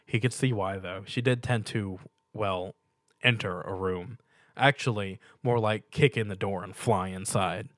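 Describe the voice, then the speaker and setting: A man's voice: fairly low pitch, measured pace, a bit bright, dry recording, studio quality. A man reading a piece of fan fiction aloud as dramatic story narration.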